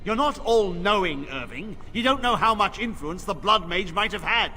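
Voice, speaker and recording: An actor playing a stern, suspicious templar knight commander in a fantasy game, formal, commanding and accusatory. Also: male voice, sits high, lively intonation, moderate pace, pushed and bright. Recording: reverberant game dialogue with music.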